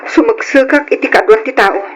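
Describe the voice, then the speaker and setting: Actress as timid young migrant sister, noisy recording. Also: female voice, fairly high pitch, brisk, natural voice. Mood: nervous. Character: young Filipina migrant worker, caring older sister, timid. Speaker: narrator and lead of a letter drama. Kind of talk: old Philippine radio drama acting. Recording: noisy old radio drama recording.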